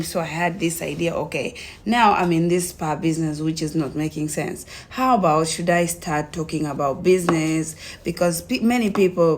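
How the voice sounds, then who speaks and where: A young woman with a Tanzanian accent tells her own story casually, in an animated way, acting out conversations as she goes. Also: fairly low pitch. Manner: animated retelling, acts out quoted conversations.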